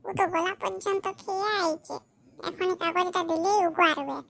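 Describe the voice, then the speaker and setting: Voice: moderate pace, gravelly, a bit bright, full character voice. An actor voicing a cheeky, grumbling schoolboy in a comedy cartoon, with a childlike, colloquial way of talking. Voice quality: high-pitched, childlike. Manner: colloquial, grumbling, mildly foul-mouthed. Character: cheeky grumbling schoolboy, unprepared for exam, scheming to cheat.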